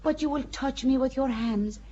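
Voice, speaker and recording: A woman's voice: high-pitched, moderate pace, dark tone. A woman playing Joan, a bold peasant girl. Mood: reverent. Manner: blunt rustic speech, fervent. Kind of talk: audio drama acting. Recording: dull audio with faint hiss.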